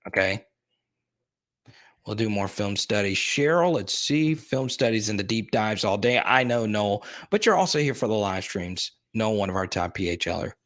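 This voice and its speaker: A man's voice: on the low side, moderate pace, fairly bright tone. A young man hosting a sports live stream, rambling casually while reading and answering viewers' chat comments in his plain, everyday voice.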